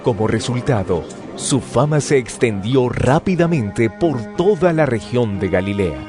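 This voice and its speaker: Casting: male narrator for dramatized scripture, solemn but expressive in intonation, music bed behind. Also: medium pitch, unhurried.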